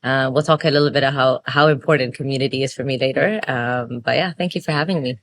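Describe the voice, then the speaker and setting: A woman's voice: very deep voice, fast, a bit bright. A woman in her thirties from the Emirates, a chiropractor speaking earnestly in a casual interview, with frequent fillers and restarts. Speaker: chiropractor guest.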